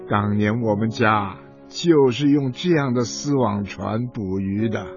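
A man's voice: medium pitch, creaky. An elderly boat-worker grandfather in a radio drama, reminisces with pride and fondness. He takes his time and speaks with open feeling. The sound is slightly muffled, with music underneath. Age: older. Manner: emotive, reminiscing storyteller.

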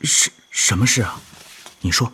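An actor playing an earnest, deferential, homesick soldier in a radio drama, with emotive delivery. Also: male voice, on the low side, measured pace, sharp tone, breathy edge, heavily acted. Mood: anxious, despairing. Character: earnest, homesick younger male soldier, deferential to his superior. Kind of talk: Chinese radio drama acting.

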